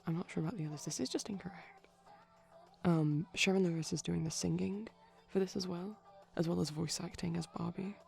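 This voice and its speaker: Soft, creaky-voiced man rambling quietly. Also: high-pitched, steady tone, a little fast, faint music behind. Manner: chatty, digressive, tentative. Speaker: ASMR performer. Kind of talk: soft-spoken rambling ASMR commentary on a film. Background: TV film audio playing in background.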